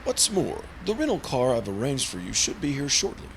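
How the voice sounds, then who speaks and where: A man's voice: medium pitch, huge pitch swings, a little fast, vocal fry, a little hiss or hum, poor audio. A man playing a prim, earnest, easily flustered insurance broker in old-time radio drama style. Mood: fussy, impatient. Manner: prim, formal, exasperated. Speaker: supporting actor in a radio play.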